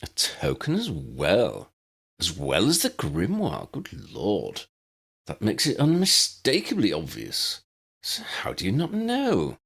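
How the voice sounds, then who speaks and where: A man voicing an erudite, unflappable old wizard in a fantasy audiobook, measured and pedantic with a needling edge. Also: fairly low pitch, huge pitch swings, creaky, a bit bright, husky, voice pushed up, exaggerated voice. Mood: incredulous, wry. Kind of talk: fan-fiction audiobook character voice.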